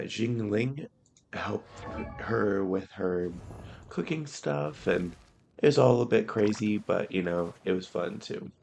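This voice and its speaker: A man hosting casual let's-play commentary, hoarse from illness, laid-back and clearing his throat often. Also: on the low side, a little mellow, a little hiss or hum. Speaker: gameplay host.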